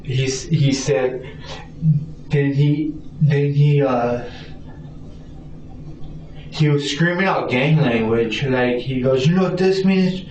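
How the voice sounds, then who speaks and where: A man haltingly recounts an incident in a police interview, stammering, repeating himself and acting out dialogue in fragments. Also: medium pitch, a little mellow. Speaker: suspect being questioned by police. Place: indoor police interview room. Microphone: distant room recording. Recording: echoey room, distant muffled mic, noisy.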